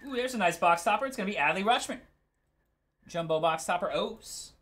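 A man's voice: sits high, animated, fairly quick, a bit bright, slight background noise. A man hosting a live sports card break, giving casual, laid-back commentary in short clipped call-outs.

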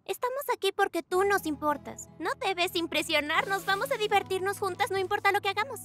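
Bright, very high, supportive girl. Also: brisk, soft background music. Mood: reassuring. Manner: reassuring, earnest. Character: supportive, encouraging young girl friend. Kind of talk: animated children's cartoon dub.

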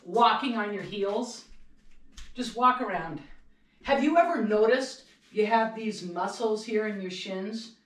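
A middle-aged woman giving an energetic, upbeat home-video ski lesson in her kitchen, demonstrating as she talks. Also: mid-range voice, measured pace. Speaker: ski instructor. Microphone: far camera. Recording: echoey kitchen from far off.

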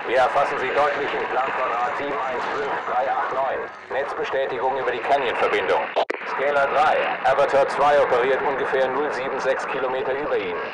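A man's voice: mid-range voice, fairly even intonation, a little fast. An actor playing a composed male ground controller in video game radio chatter, formal and procedural over a military radio. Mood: composed, businesslike. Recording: very noisy military radio transmission.

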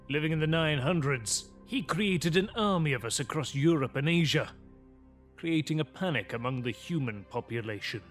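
Man acting aristocratic vampire killer, slightly high voice, formal, menacing, deliberate, gothic audio drama, music behind. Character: aristocratic male vampire killer, menacing, old-fashioned, cold.

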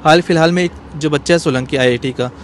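A man in his thirties giving a serious, explanatory interview answer in short, measured phrases. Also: fairly high pitch. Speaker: interview guest, cartoonist. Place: outdoors in an open courtyard. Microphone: handheld small microphone. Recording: faint outdoor street background noise.

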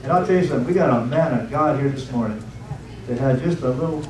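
A man's voice: mid-range voice, quick pace. A man preaching and praying to a congregation in a fervent, folksy way with lots of repetition. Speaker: pastor. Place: church hall. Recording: echoey room from far off with crowd.

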